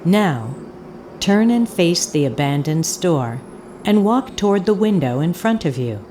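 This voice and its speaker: An actress playing a haunted audio tour guide in a fiction monologue, speaking deliberately and haltingly, with insistent repetition. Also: female voice, fairly low pitch, sing-song, dark tone, a little hiss or hum. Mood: hushed, insistent. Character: eerie, haunted woman guiding an audio tour. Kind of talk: dramatic second-person audio fiction monologue.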